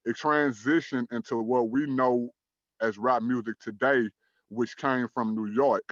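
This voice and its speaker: A man in his thirties from Dallas, Texas, hosting an online talk show in a measured, earnest way, with frequent "um" and "uh" fillers. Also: medium pitch, fairly even intonation, no echo, muffled recording. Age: 30s. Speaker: host.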